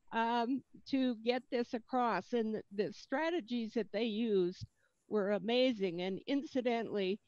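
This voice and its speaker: A woman's voice: fairly high pitch, a little mellow, no echo. A woman panelist speaks over a video call, thoughtful and admiring. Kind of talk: panel discussion answers. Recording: dull dry video call audio.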